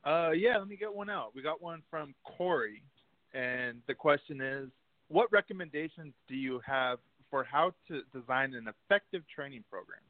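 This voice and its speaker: A man's voice: fairly high pitch, expressive, moderate pace, dry recording. A man speaking as co-host and announcer in a radio-show presenting style. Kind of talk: radio-style show sign-off and question reading. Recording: phone-like sound with faint music.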